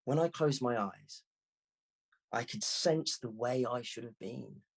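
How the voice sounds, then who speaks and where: A man reading a first-person literary story aloud in an expressive, scripted narration. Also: mid-range voice, slow, gravelly, slightly rough. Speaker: audiobook reader.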